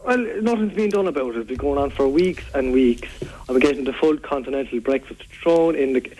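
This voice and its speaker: A man's voice: mid-range voice, a little fast, dark tone, a little hiss or hum, natural voice. Guy pretending to be a moaning irish bloke from waterford on a radio phone-in, rambles on, repeats himself, dead earnest. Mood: indignant. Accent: Irish, County Waterford. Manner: rambling, repetitive, earnest complaining. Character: aggrieved, rambling local man fed up with food-throwing youths. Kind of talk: prank call to a local radio show. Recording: phone call on local radio.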